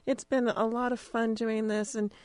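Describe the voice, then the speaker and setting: A woman's voice: on the high side, level tone, moderate pace, darkish tone, no echo. An American woman hosting a casual interview, talking in an informal, chatty way. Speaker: interviewer, radio drama producer.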